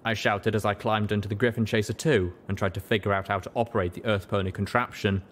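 Low-voiced man narrating a story. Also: fairly even intonation, fast talker, slight background noise. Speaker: audiobook reader.

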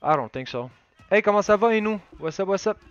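A man's voice: sits high, fast talker, clean tone, highs cut off. A man in his thirties whose first language is Polish, chatting casually in English and French while streaming a shooter game at home on a headset. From Poland. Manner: switches between French and English, excitable when calling out cheaters. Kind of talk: casual gaming commentary. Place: room at home, indoors.

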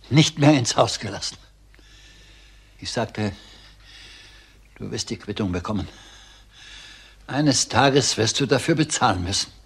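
An actor playing a grieving, bitter older schoolteacher in a crime drama, speaking haltingly and insistently. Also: male voice, medium pitch, slightly rough. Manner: halting, emphatic, repeating himself. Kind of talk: German crime drama acting.

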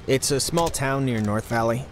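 A man's voice: mid-range voice, moderate pace, a little hiss or hum, lightly acted. A man playing a reasonable, slightly awkward teenage boy in a video game, hesitant, dry and plain-spoken. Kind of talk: naturalistic video game voice acting.

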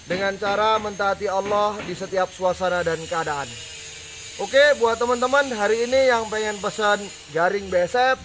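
A man's voice: sits high, noisy background. A young man talking to camera in a casual promotional vlog, earnest and persuasive, inviting viewers to come and learn. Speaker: farm owner giving a promo talk. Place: outdoors by a garden fence.

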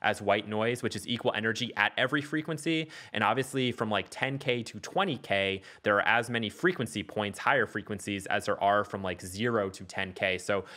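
Male tutor, lowish pitch, very bright crisp-edged tone, casual explanatory delivery for a production walkthrough. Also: a little fast, few pauses. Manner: explanatory, checks in with "okay" and "all right". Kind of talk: casual software tutorial narration.

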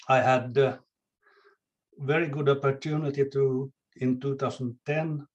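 A man from Finland with Swedish as his native language and a Finland-Swedish accent, a chef speaking as a guest in a podcast interview, measured and careful, sometimes searching for words. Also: mid-range voice, darkish tone, low-quality recording.

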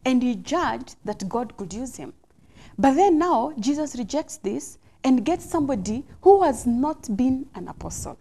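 Female talk show host, thirties, high pitch, soft mellow tone. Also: very animated, measured pace, vocal fry. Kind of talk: interviewing a panel on a studio talk show. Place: TV studio. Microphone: lapel mic.

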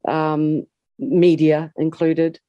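A woman's voice: little pitch movement, very slow, dark tone, no echo, highs cut off. Impassioned woman on a political panel. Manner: earnest, impassioned, rising pitch when stirred.

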